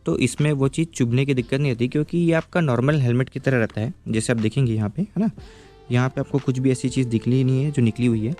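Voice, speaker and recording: A man's voice: mid-range voice, fast talker, warm tone, faint music behind. A man giving a casual, step-by-step product review, explaining patiently and checking in with the viewer as he goes.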